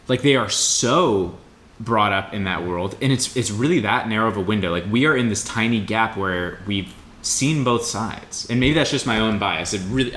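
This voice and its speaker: A man in his mid-twenties with a bright, forward voice that sits a little low. He talks casually and reflectively, wandering through his thoughts in an informal way. The room adds noticeable echo. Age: mid 20s. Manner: rambling, informal with mild swearing. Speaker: podcast co-host. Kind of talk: casual reflective podcast conversation. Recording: roomy echo with faint background noise.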